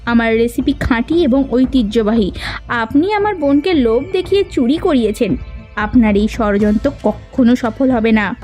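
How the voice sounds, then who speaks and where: A woman's voice: a little high, lively intonation, normal speed, a little mellow, soft background music, natural voice. A woman voicing a proud elder sister who runs a village kitchen, speaking in a stern, accusing way in a cartoon drama. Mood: angry, accusing. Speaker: voice actor.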